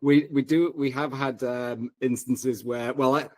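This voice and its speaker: A man chatting casually as a co-host, wry and a little halting in his delivery. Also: mid-range voice, fairly even intonation, darkish tone. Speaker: podcast co-host. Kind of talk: casual chat.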